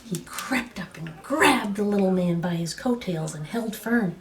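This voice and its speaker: A woman storyteller reading a picture book aloud with expressive, dramatic and emphatic phrasing. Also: mid-range voice, unhurried, slight background noise.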